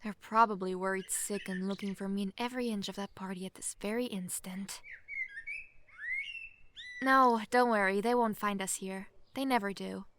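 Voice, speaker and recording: A young woman playing a sheltered, lonely princess who is playful, clingy and outspoken, in an expressive, chatty manner for an intimate roleplay audio drama. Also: medium pitch, normal speed, lightly acted. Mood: reassuring, indignant. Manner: expressive, chatty, swinging from giddy to wistful. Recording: faint background noise, hobbyist home microphone.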